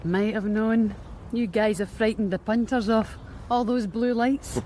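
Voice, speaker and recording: An actress playing a blunt, streetwise young street worker with a Scottish accent in a radio drama, wry and matter-of-fact. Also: female voice, a little high, fairly even intonation, a little slow, a little mellow, a little hiss or hum, lightly acted. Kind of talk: BBC radio drama acting.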